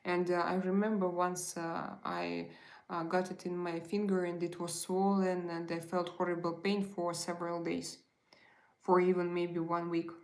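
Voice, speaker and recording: A woman's voice: on the low side, moderate pace, roomy sound. A woman with a Russian accent and Russian as her first language, hosting a casual livestream, chatting with viewers and answering their messages with many hesitant fillers. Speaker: livestream host.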